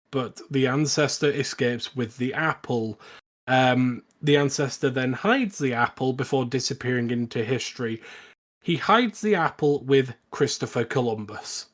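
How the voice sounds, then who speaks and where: Male podcast co-host, mid-pitched, casually recapping a plot with a hesitant, halting flow.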